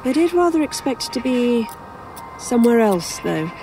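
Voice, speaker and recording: A woman's voice: a little high, very animated, moderate pace, noisy background. An actress playing a bewildered but dryly polite woman in an audio drama, hesitant and puzzled. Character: bewildered, polite, dry-witted woman in a strange place.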